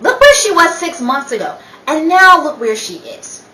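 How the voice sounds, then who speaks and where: A woman playing a meddling, gossipy high-society wife who feigns concern, gushing theatrically in a 1930s-style radio play. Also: sing-song, moderate pace, pushed and bright, exaggerated voice. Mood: urgent meddling. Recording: echoey room, distant mic, faint noise.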